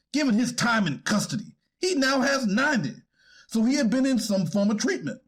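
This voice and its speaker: A defense attorney played by a man pleads for his client in a staged courtroom reading. His voice is high and husky, with lively swings in pitch. He stays formal and deferential to the bench, turning hesitant when challenged. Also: heavily acted. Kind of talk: readers theater courtroom transcript reading.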